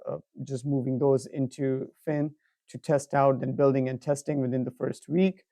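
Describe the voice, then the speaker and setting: Mellow-voiced man presenting a product demo. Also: mid-range voice, flat delivery, moderate pace, gravelly, no echo, studio quality. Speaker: presenter giving a software demo.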